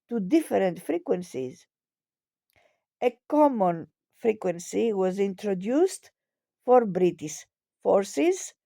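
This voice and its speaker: A woman reading scripted history narration in a measured, formal manner. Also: medium pitch, dark tone, no echo, studio quality.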